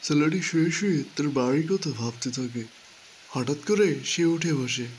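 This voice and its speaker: A man's voice: fairly high pitch, lively intonation, moderate pace, darkish tone. A man narrating a children's cartoon story in a scripted storytelling style. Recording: faint background noise.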